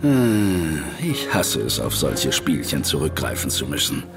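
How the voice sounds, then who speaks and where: A man playing a grave, secretive gentleman of authority in a German audio drama, terse and formal. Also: a bass voice, sing-song, measured pace, full character voice. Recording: music behind the voice.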